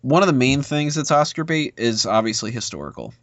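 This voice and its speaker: A man's voice: medium pitch, animated, brisk, no echo. A man giving casual, opinionated film commentary as a podcast panelist, discursive and full of asides. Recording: dull lo-fi podcast audio.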